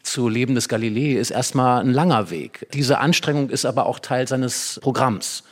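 A man speaking as an expert guest in a stage conversation, explaining reflectively and choosing phrases carefully with pauses. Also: medium pitch. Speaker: expert guest, dramaturg and writer. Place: hall with a live audience.

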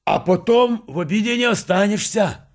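Sly folksy merchant, high voice, colloquial, insinuating, radio drama. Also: male voice, lively intonation, moderate pace. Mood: wheedling.

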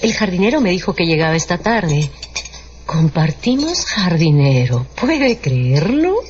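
Gossipy, animated country matron. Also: female voice, on the low side, unhurried, a little hiss or hum. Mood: scandalized, gossiping. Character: gossipy, scandalized middle-aged country lady, doting mother. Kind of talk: radio drama acting. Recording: muffled radio production with loud music.

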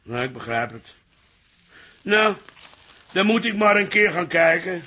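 A grumbling older father in a radio comedy drama. The voice is raspy and bright, sitting high for a man, with dry, needling humour. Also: very animated, measured pace, heavily acted. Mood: resigned. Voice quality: raspy, gravelly. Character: grumbling, teasing live-in father of a café family.

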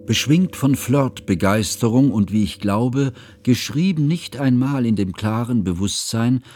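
An actor playing a sad, elderly scholar who narrates in a formal, reflective, literary manner for a radio drama. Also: male voice, medium pitch, a little slow, darkish tone, lightly acted. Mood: measured, wry. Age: older. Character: sad, elderly scholarly man recounting a friend's tragedy. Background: music.

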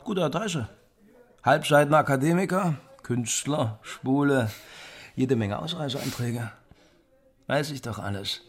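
An actor as a boastful, tipsy secret police officer. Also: male voice, mid-range voice, a little slow, lightly acted. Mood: needling, probing. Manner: crude, condescending, tipsy. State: drunk. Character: boastful, hard-drinking East German secret police lieutenant. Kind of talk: radio drama acting.

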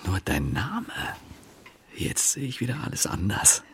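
A man's voice: sits low, huge pitch swings, unhurried, breathy edge, full character voice. An actor playing a restless, brooding sleepless man in a radio play, murmuring and suddenly flaring up. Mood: uneasy, murmured. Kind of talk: expressionistic radio play acting.

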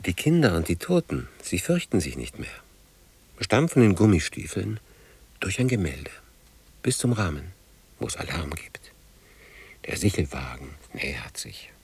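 Husky, erratic, haunted male survivor. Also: deep voice, sing-song, a little slow, pauses often, heavily acted. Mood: visionary, brooding. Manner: erratic, swinging from murmurs to outbursts. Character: haunted, defiant drunken survivor of war. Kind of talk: poetic radio drama acting.

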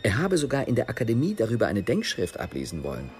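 A man's voice: on the low side, wildly swooping pitch, fast talker, a little mellow, soft background music. A man giving an articulate, rhetorical reading of a literary text for the radio. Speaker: reader of literary texts.

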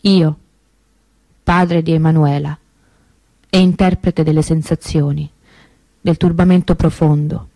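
An actress playing an anguished, stubbornly hopeful grieving sister in a theatrical radio monologue, intense and emotive with dramatic pauses. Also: female voice, low-pitched, fairly even intonation, mellow, no echo, poor audio. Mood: solemn appeal.

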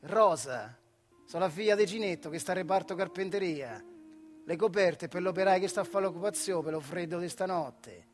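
A woman's voice: fairly low pitch, soft background music, lightly acted. Man playing a cheeky young working girl. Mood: shy. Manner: cheeky, quick to retort. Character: sassy, blushing young working-class girl bringing blankets.

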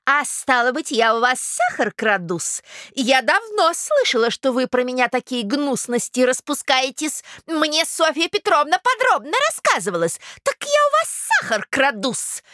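A woman voicing a touchy, quarrelsome provincial gentlewoman in a literary audiobook, screechy and affronted. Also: high voice, very animated, a little slow, no echo, studio quality, full character voice. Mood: indignant. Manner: screechy, affronted, servile -s word endings.

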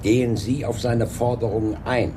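An actor playing a brisk, sardonic police inspector in a German crime radio play, with an authoritative, matter-of-fact manner. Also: male voice, mid-range voice, little pitch movement, background noise, close to an everyday voice. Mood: businesslike.

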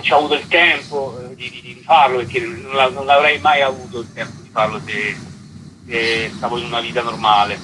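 A man's voice: mid-range voice, noisy background. A man being interviewed casually as a writer and stage actor, hesitant and often repeating words as he thinks.

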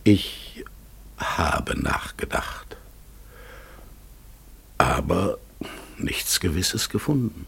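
An actor playing a melancholy, pondering raven in a radio play, halting and brooding, with a husky, gravelly voice. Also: male voice, low-pitched, sing-song, a bit bright, full character voice. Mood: pensive, searching. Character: melancholy, pondering raven in human life, gentle and troubled. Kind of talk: German radio play acting.

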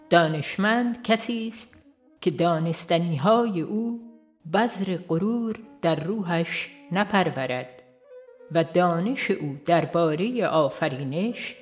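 A narrator delivers scripted religious audiobook text with a solemn, formal bearing and measured phrasing. The voice sits at a medium pitch, with music playing faintly behind it. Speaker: audiobook reader.

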